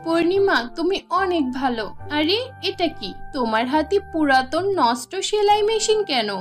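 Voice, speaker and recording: Lady doing a little butterfly fairy for a kids cartoon, really high, kind n exclaimy, music behind. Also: animated, unhurried. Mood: warm, grateful. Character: small female butterfly fairy, grateful and kind. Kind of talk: children's cartoon fairy tale acting.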